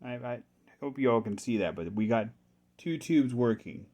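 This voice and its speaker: A man's voice: mid-range voice, expressive, moderate pace, mellow. Man musing aloud at his workbench. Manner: musing, thinking aloud to himself. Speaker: hobbyist repairer presenting a video. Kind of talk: casual unscripted workbench commentary.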